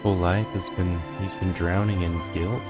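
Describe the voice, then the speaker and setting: A man giving casual, low-key commentary on a video game as he plays, musing to himself. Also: flat delivery, moderate pace, over music. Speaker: gameplay streamer.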